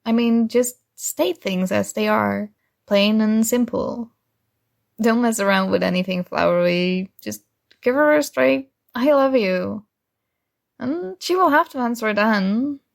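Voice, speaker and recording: Slow-paced, oblivious sweet female friend, acted by a woman. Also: fairly high pitch, creaky. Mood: casual, encouraging. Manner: casual, hesitant, earnest delivery. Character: oblivious, kind, earnest female friend and secret crush. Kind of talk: ASMR roleplay, intimate one-sided dialogue.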